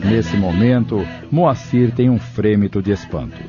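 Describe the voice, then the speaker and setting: A male narrator reads a scripted audio drama in a low, mellow voice. The recording is a little dull, with faint music behind him. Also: measured pace.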